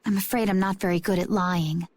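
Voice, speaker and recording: An actress plays a composed, enigmatic young warrior woman from a video game. Her medium-pitched voice is cool and understated. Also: fairly even intonation, fairly quick, a little mellow, lightly acted. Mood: calm, guarded.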